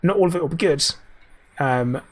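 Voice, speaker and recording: A man's voice: on the high side, moderate pace, a little mellow. A man with a slightly scratchy voice, chatting through a live game stream, muttering asides and answering viewers by name at his desk mic. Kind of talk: live gameplay commentary.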